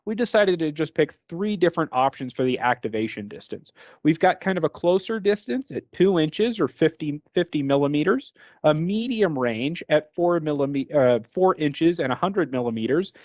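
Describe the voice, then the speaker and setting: A man's voice: a little high, expressive, normal speed, a little mellow, no room sound. A man giving an explanatory product training talk as a presenter. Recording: dull, band-limited recording.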